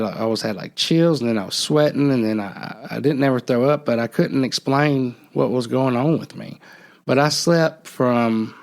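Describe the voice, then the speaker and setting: A man telling a personal story on a podcast, halting and reflective, often trailing off. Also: mid-range voice, creaky, darkish tone. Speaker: podcast host.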